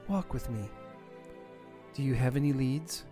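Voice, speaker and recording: A man's voice: medium pitch, a little slow, dark tone, soft background music, natural voice. A man playing a suave, determined British secret agent in an amateur audio drama. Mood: calm, concerned.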